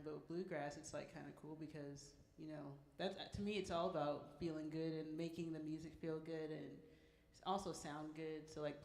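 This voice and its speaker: A woman, a band musician, giving casual unscripted advice to an audience. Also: deep voice. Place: indoor museum performance space. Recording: echoey room, live event microphone.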